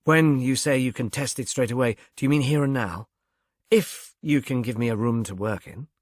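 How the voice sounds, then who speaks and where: A man voicing a polite, urbane police inspector in a storybook, with a measured, dry manner. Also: mid-range voice, no room sound, lightly acted. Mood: polite, businesslike.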